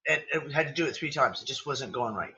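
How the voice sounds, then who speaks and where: A man's voice: medium pitch, lively intonation, fast talker, sharp tone, dull audio. A man hosting a casual livestream chat, losing his voice and sniffling, who talks in an exclamatory, rambling way and swears freely. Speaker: livestream discussion host. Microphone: computer mic on a video call.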